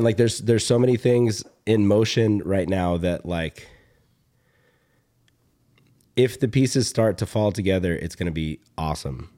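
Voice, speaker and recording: A young man with a low, slightly hoarse voice talks casually as a podcast host. He rambles, pausing and starting again, and drops in swear words in a relaxed, conversational way. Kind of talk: casual chat.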